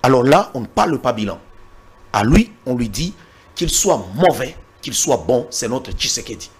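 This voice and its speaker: A man's voice: mid-range voice, big pitch swings, normal speed, slightly rough. A Congolese man arguing hard in a political interview, repeating words when pressed and citing the Bible. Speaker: interview guest. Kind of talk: heated political debate.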